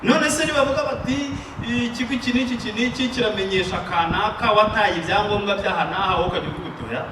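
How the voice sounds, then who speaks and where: A man's voice: sits high, very fast, few pauses, distant mic. A Rwandan man preaching a sermon with emphatic call-and-response, paced for an interpreter. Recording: echoey room, picked up from afar, noisy.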